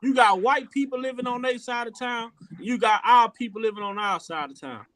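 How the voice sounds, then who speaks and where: A man's voice: very high-pitched, a little fast, fairly bright tone, a little hiss or hum. A man from rural Louisiana, hosting a casual opinion talk show and speaking earnestly, often repeating words as he builds a point. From rural Louisiana, USA. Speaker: podcast host.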